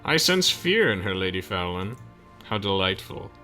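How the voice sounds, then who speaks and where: A gloating, theatrical villain knight as a man playfully mimics him, in a fairly low, bright voice with game-villain flourish. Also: very animated, normal speed, soft background music, full character voice. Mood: mock menace.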